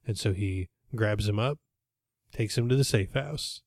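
A man's voice: fairly low pitch, no echo, studio quality. A man hosting a podcast, casually recapping an episode in a rambling, jokey way. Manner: rambling, jokey, with quick put-on voices. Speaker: podcast host.

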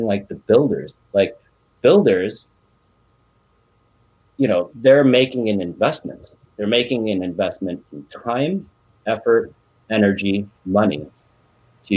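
A man in his thirties, born in Korea, giving a casual, rambling pep talk. His voice sits fairly low. He speaks emphatically and fills his sentences with "you know" and "bro.". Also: dull audio. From South Korea. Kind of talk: casual chat.

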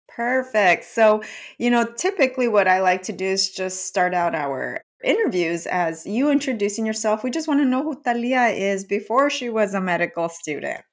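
A woman's voice: medium pitch, expressive, normal speed. A woman hosting an interview podcast, with an upbeat, encouraging way of talking. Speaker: podcast host.

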